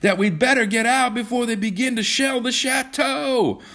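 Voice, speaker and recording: A man's voice: medium pitch, big pitch swings, fairly quick, fairly bright tone, husky, voice pushed up, full character voice. A man voicing an earnest, worried young suitor in an insistent, dramatic storybook manner. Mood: urgent shouting.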